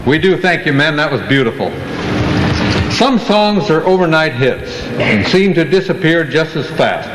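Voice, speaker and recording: A man's voice: on the high side, lively intonation, moderate pace. A man speaking as master of ceremonies on stage, delivering scripted, theatrical patter in the style of a 1940s radio announcer. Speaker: master of ceremonies at a chorus show. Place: live stage in a large hall. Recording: noisy echoey hall recording.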